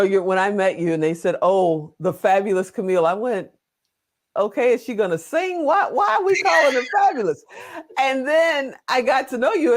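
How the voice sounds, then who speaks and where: Woman host, chatty, jokey, long rambling questions, casual interview. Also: fairly high pitch. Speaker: talk show host.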